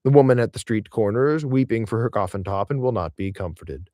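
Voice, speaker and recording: A man reading a literary essay aloud in audiobook style, in a measured, expressive way with deliberate emphasis. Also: fairly low pitch, dry recording, studio quality.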